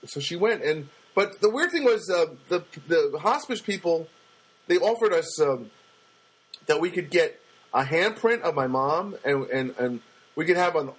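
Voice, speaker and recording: A man with a booming voice telling a personal story in a casual monologue, halting often with fillers and restarts. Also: rough recording. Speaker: storyteller recounting a personal loss.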